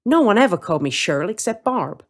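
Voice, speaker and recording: A woman's voice: medium pitch, sing-song, fast talker. A woman reading a first-person story in character, with a wry, streetwise, colloquial manner. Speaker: story reader.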